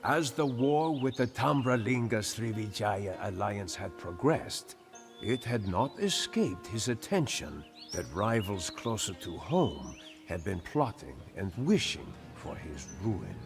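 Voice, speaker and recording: A man narrating a historical strategy game in a measured, theatrical storytelling style. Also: on the low side, sing-song. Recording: narration over a music bed. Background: background music.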